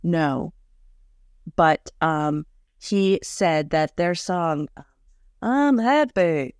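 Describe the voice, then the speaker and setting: A woman's voice: fairly low pitch, expressive, drawn-out words, darkish tone, clear, resonant tone, no echo, clean studio sound. A woman co-hosting a podcast, telling chatty anecdotes in a casual way. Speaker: podcast co-host. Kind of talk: casual chat.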